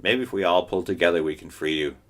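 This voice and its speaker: A patient older brother wolf from a storybook, voiced by a man in a low voice close to his own. He speaks steadily, resigned and kind. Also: fast talker, a bit bright. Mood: kindly.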